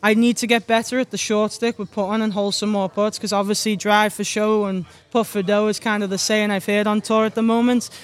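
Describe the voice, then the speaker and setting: A woman's voice: medium pitch, level tone, brisk, hardly any pauses. A young woman from Liverpool with a Scouse-Irish accent, answering interview questions as a golf pro in a chatty, upbeat way. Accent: Scouse with Irish mix. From Liverpool, England. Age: young adult.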